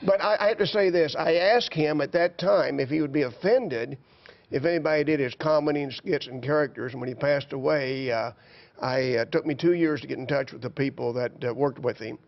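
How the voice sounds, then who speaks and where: A man's voice: fairly high pitch, brisk, vocal fry. A man in his seventies, a guest performer, telling stories in a folksy, chuckling way. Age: 70s. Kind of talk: casual chat. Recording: slightly dull TV studio audio.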